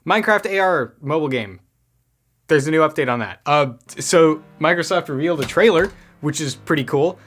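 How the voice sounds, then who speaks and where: A man's voice: fairly high pitch, lively intonation, normal speed, a bit bright. A man hosting a gaming news show in a casual, conversational way, dropping wry asides as he goes.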